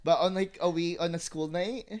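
A man's voice: fairly high pitch, steady tone, moderate pace. A young man co-hosting a podcast, chatting casually with friends in a candid, slangy, campy way. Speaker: podcast co-host. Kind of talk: casual chat among friends.

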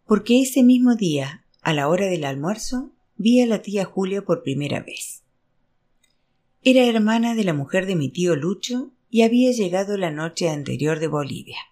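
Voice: mid-range voice, a little mellow. A narrator reading literary fiction aloud as an audiobook, in a scripted storytelling style. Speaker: audiobook reader.

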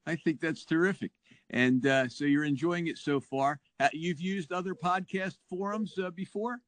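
A man's voice: a little high, normal speed, no echo. A man hosting a podcast chat, enthusiastic and full of praise for his guest. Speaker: podcast host. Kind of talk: casual interview chat.

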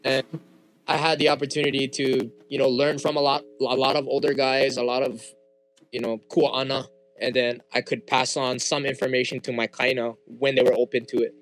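A man's voice: medium pitch, moderate pace, rough recording. Young Hawaiian man, native Hawaiian speaker, guest on a chat show; loose and easygoing. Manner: relaxed, frequent fillers and restarts. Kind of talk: casual interview chat.

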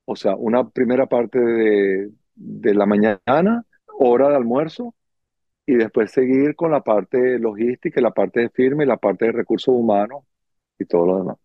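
A man's voice: mid-range voice, normal speed, no echo. Venezuelan man telling stories, muffled sound. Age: middle-aged. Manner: animated, acting out little dialogues. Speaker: guest, circus performer and dancer. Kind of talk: conversational storytelling in an interview.

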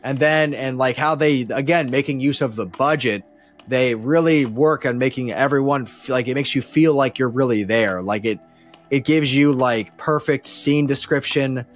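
A man chats as a podcast guest, with music in the recording. His voice sits at a medium pitch. He is opinionated and enthusiastic, wandering through his explanations in a loose, conversational style. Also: normal speed. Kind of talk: casual podcast discussion.

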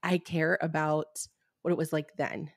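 A woman with a notably low, deep speaking voice, recorded close and dry. She talks as a Reiki fertility healer in a podcast interview and explains things steadily, plainly and bluntly, with many "um"s along the way. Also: measured pace, dry recording. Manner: steady, explanatory, blunt at times, with frequent fillers.

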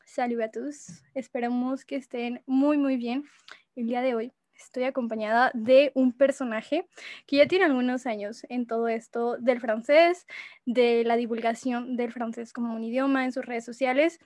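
A woman hosting a casual interview, friendly and quick to laugh, often repeating her words. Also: a little high, fairly even intonation, darkish tone, dry recording, studio quality. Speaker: interviewer and host.

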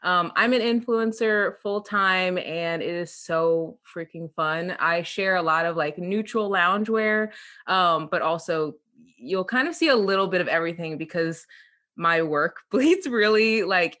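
A woman's voice: on the low side, fairly bright tone. A young woman from Central Illinois, a podcast guest who chats casually and giggles often, with plenty of "like" and "um". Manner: giggly, heavy use of "like" and "um". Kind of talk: casual interview chat.

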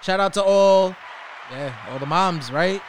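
A man's voice: high-pitched, unhurried, a faint crowd, slight background noise. A man from the Bronx hosting a casual podcast, slangy and hyped like an emcee. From the Bronx, New York. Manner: hype, slangy, animated emcee delivery. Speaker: podcast host.

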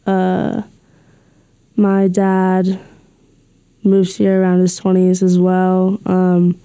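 A teenage girl answering interview questions hesitantly, with many pauses. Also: on the low side, monotone, mellow, smooth. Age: teens. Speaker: student interviewee.

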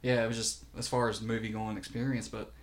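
A man's voice: lowish voice, little pitch movement, fast. A man co-hosting a podcast in casual chat, telling stories animatedly and laughing often. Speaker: podcast co-host.

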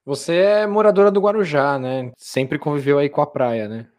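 A man's voice: a little high, moderate pace, darkish tone. A man hosting a podcast, asking friendly questions in a conversational way with frequent tag questions. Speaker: podcast host. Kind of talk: conversational interviewing.

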